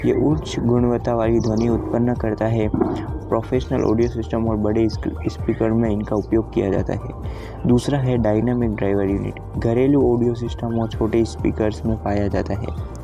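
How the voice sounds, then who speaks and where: A man's voice: on the low side, level tone, moderate pace. A man presenting a scripted explainer in a methodical, point-by-point way. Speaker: presenter of an audio equipment explainer. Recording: dull lo-fi sound with music behind. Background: clear background music.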